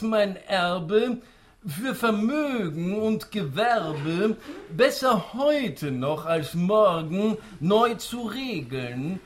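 Pompous old tycoon with swooping pitch. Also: male voice, fairly bright tone, full character voice. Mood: grandiose, musing. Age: older. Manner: theatrical, pompous, savouring each rhyme. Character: smug, spry old tycoon patriarch who faked death. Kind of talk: rhymed radio play monologue.

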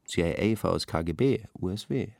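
An actor playing an earnest, lecturing intellectual art critic in a radio drama studio discussion. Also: male voice, sits low, animated, normal speed, gravelly, a little mellow, dry recording. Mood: measured, argumentative.

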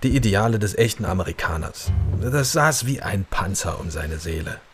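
A man's voice: low-pitched, expressive, moderate pace, soft background music. An actor playing a wry, guarded man who talks in clipped phrases in a German radio crime drama. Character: male acquaintance of a dead singer, wry, guarded.

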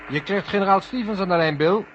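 A man's voice: high-pitched, fast talker, natural voice. Man acting mission control operator, noisy recording. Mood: businesslike. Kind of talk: 1970 Dutch science-fiction radio drama acting. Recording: noisy, humming, dull old radio recording.

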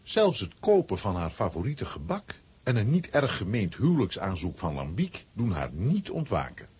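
A man's voice: fairly low pitch, wildly swooping pitch, moderate pace, a little mellow, husky, full character voice. A man narrating a scripted children's audio drama as its storyteller. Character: storyteller relating a comic adventure.

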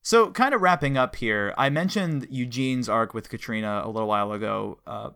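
A man's voice: medium pitch, animated, normal speed, creaky, sharp tone, smooth. A man co-hosting a podcast, talking casually and analytically in a chatty, explanatory way. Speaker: podcast co-host.

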